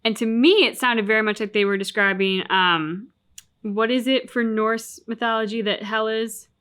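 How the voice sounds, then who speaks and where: A woman's voice: a little high, steady tone, normal speed, a bit bright, clean tone. A woman co-hosting a reaction channel, casually discussing a video game and acting out bits of what characters say.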